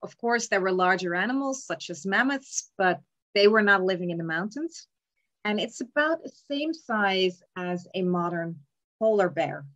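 A young woman explains her slides in a calm, measured lecture, with frequent ums. She speaks over a webcam from an indoor room, at a middling pitch. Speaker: palaeontology lecturer. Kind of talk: slide lecture on cave bears. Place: indoor room, remote talk. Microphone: webcam in a video call.

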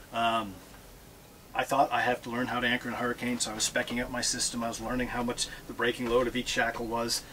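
A middle-aged man speaks casually to a small audience, joking as he goes through his notes. His voice sits in a plain middle pitch. He is recorded by a camera some distance away on a boat in a marina, with a faint hiss behind him. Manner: relaxed, joking, reads from notes. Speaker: sailor giving a talk. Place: aboard a catamaran in a marina. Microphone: far camera.

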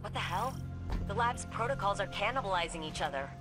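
Loud music runs behind an actress playing a capable female mission guide in a video game. She talks casually and wryly, with dry understatement. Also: medium pitch, animated, a little fast, lightly acted. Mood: alert, uneasy. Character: wry, capable woman guiding a soldier on a mission. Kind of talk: naturalistic video game voice acting.

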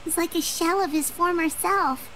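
A woman voicing a tiny, childlike fairy girl companion in an anime-style game, squeaky, earnest and kindhearted. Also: moderate pace, a little hiss or hum, full character voice, rough recording. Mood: pitying, puzzled. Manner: earnest, expressive.

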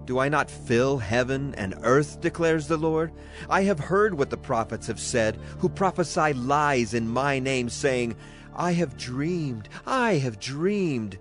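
Man, medium pitch, dramatized Bible narration, solemn, declamatory, background music. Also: expressive, slow. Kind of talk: dramatized scripture reading. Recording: clear music behind the voice.

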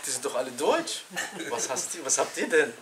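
A middle-aged man teaching an informal religious lesson in a small room, animated and chatty, laughing with his students and restarting his sentences. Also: medium pitch, a little fast, crowd noise. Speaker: sheikh teaching a Quran class. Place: small indoor classroom.

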